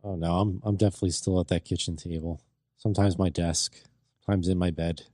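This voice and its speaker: A young man in his twenties answers a host's questions with hesitant, filler-heavy chat. His voice is very deep and mellow, recorded dry and close in a studio. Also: fairly even intonation, quick pace, dry recording. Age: 20s. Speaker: co-host. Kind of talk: casual talk show chat.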